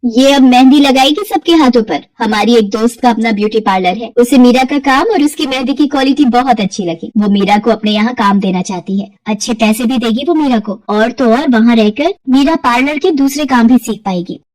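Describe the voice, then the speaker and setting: A woman's voice: a little high, brisk, hardly any pauses, darkish tone, close to an everyday voice. A woman voicing a kind, confident madam in a cartoon moral story, firm and reassuring in manner. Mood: kind, decisive. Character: kind, confident, well-off madam, protective employer.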